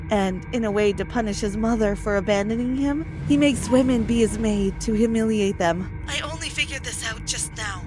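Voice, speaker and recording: A woman voicing a trapped young wife who records a soft, urgent warning. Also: fairly high pitch, lightly acted, low-quality recording. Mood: urgent hushed.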